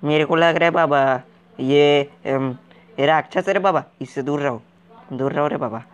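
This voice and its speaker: A man's voice: fairly high pitch, clear, resonant tone, highs cut off, natural voice. A man putting on a bumbling talking game wanderer. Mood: baffled, jittery. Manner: ends nearly every line with a drawn-out "re baba" catchphrase, jumpy and naive. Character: clueless, excitable uneducated man wandering a game. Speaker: gaming video character. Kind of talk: comic game commentary in character.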